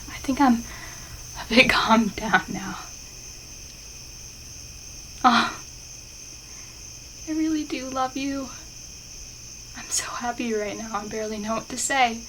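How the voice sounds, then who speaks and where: High-voiced, shy young-love best friend, acted by a woman. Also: pushed and bright. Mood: overwhelmed, bashful. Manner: halting, bashful, stammering. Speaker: voice actor in an audio roleplay. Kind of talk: intimate ASMR roleplay acting. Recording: clear insect and outdoor ambience noise. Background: crickets and night ambience.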